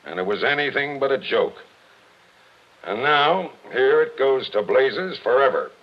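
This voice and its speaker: A man's voice: mid-range voice, expressive, unhurried. A man playing a tough, commanding police captain with blunt, emphatic delivery in a 1940s-style radio drama. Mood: grave, frank. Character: tough, forceful police captain laying out the truth. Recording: muffled vintage radio recording.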